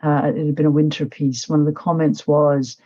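Low, dark-voiced husky woman author. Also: little pitch movement, fairly quick, muffled recording. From Nepal. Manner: reflective, many fillers and restarts. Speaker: author, podcast guest. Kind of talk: casual interview chat.

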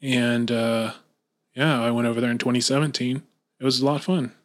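A man's voice: mid-range voice, steady tone, fairly quick. A man hosts a casual podcast chat, rambling as he goes. He asks question after question and often breaks off and restarts mid-sentence. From Florida. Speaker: podcast host.

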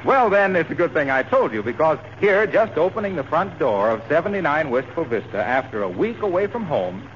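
A man's voice: fairly high pitch, big pitch swings, a little fast, slight background noise. An American man announcing in a scripted 1940s radio style, on a muffled old broadcast recording.

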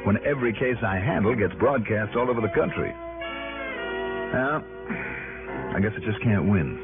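An actor playing a wry, world-weary insurance investigator in a dry 1960s radio drama style. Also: male voice, fairly low pitch, lively intonation, normal speed. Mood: rueful. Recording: tinny old radio broadcast, loud music.

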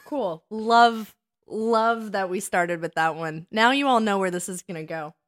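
A woman's voice: medium pitch, unhurried, no room sound. Emphatic woman storyteller in her thirties. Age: 30s. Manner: animated, emphatic, repeats phrases for effect. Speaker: podcast co-host. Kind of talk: casual personal storytelling.

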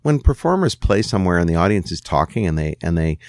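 Low-voiced man in his sixties. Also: very animated, quick pace, no room sound, studio quality. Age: 60s. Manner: candid, musing, thinks aloud with restarts. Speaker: songwriter guest. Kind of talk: reflective interview conversation.

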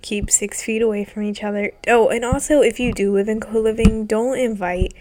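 A woman's voice: fairly high pitch, steady tone, normal speed, noisy background. Congested young woman rambling casually. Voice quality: stuffy nasal. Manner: rambling, swearing, lots of ums. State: congested, sick with covid. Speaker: vlogger. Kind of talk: casual personal chat.

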